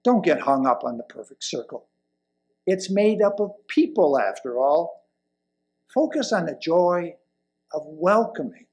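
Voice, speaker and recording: An elderly man delivers a sermon at a slow, measured pace. His voice sits fairly high for a man and has a marked creak. He phrases deliberately, leaning on key words and pausing between them. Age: older, around 70s or 80s. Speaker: lay presenter reading a sermon. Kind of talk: sermon read from a pulpit. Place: indoors at a wooden lectern in a church hall. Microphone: lapel mic.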